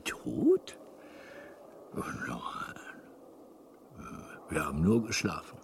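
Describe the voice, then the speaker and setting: A man's voice: low-pitched, huge pitch swings, extremely slow, in a whisper, exaggerated voice. A man playing a kindly, absent-minded old alien planet builder in a radio drama, with a hesitant, meandering delivery. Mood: wistful, rambling. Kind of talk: German radio drama acting.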